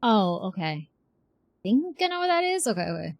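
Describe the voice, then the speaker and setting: A woman's voice: mid-range voice, sing-song, normal speed. A woman streamer chatting casually and reacting as she plays a game, talking to her viewers. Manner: reactive, talks to chat. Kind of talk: casual live game playthrough chat.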